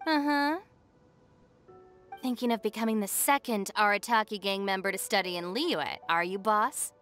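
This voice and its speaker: A woman voicing a sensible young gang deputy in a video game, brisk and dry, polite but firm. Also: medium pitch, a bit bright, faint music behind, close to an everyday voice. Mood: brisk, teasing. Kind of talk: animated game voice acting.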